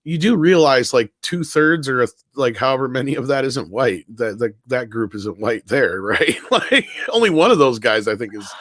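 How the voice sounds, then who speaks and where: A man's voice: mid-range voice, lively intonation, moderate pace, hardly any pauses, fairly bright tone, dry recording, studio quality. A man hosting a casual panel chat in an informal, rambling way with some swearing. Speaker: panel show host.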